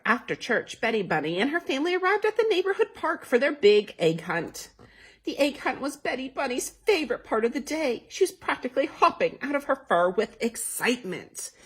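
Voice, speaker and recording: A woman's voice: a little high, slightly rough. A woman reading a children's story aloud as a library storytime host, expressively, with asides and questions to young listeners.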